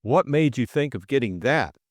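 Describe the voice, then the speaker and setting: A man's voice: medium pitch, animated, moderate pace, no echo, studio quality, voice pushed up, close to an everyday voice. A man voicing a hot-headed, loyal young upper-class Englishman in grumbling, earnest audiobook dialogue. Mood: eager, anxious.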